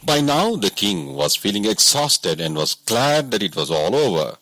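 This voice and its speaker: A man's voice: lowish voice, sing-song, normal speed, fairly bright tone, dry recording. A man narrating a scripted audiobook story as a reader.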